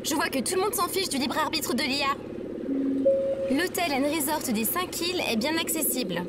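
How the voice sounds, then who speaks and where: High-pitched, sarcastic AI companion acted by a woman. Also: normal speed, loud music behind the voice. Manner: formal, deadpan sarcasm, fussy. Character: pedantic, sarcastic female AI companion. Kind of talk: comedic French audio fiction acting. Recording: very noisy background of ambient effects.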